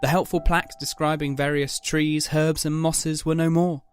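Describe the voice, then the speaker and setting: A man's voice: a little high, level tone, moderate pace. A man giving scripted dramatic narration of a story.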